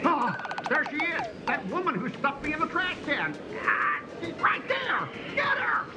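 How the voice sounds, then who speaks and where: A man's voice: very high voice, huge pitch swings, a little slow, heavily acted. A man voicing a fawning, melodramatic corporate underling in a video game, with an obsequious, bombastic, exaggerated manner. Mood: indignant. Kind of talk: video game character dialogue. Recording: clear music behind the voice. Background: game music.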